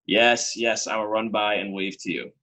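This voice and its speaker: A man's voice: fairly low pitch, steady tone, moderate pace, bright, forceful tone. An actor playing a chatty, well-meaning delivery guy, rambling and mildly flustered in a casual video-call play. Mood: undecided. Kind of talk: casual one-sided call in a Zoom play.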